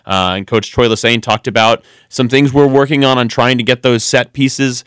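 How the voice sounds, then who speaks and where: A man's voice: mid-range voice, steady tone, normal speed, fairly bright tone, clear, resonant tone, no echo. A man co-hosting a sports podcast in a radio studio, chatting casually and rambling through lots of ums and restarts. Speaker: podcast co-host.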